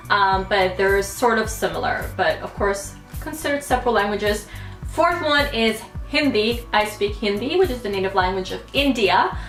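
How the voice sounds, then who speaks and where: A woman's voice: a little high, fairly bright tone, roomy sound. A young woman, chatting casually to camera as a YouTuber, playful and full of fillers. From United States.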